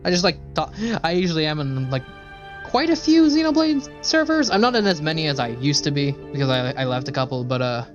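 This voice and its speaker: A man's voice: fairly high pitch, very animated, a little fast, highs cut off, soft background music. A man casually chatting with viewers as a game livestreamer, rambling and swearing freely. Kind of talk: casual chat with viewers while playing.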